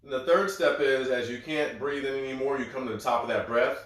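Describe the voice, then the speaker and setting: A man guiding a breathwork session in a calm, gentle, step-by-step way, a little congested. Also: mid-range voice, brisk. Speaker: breathwork instructor. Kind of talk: guided breathing instruction. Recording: very echoey room.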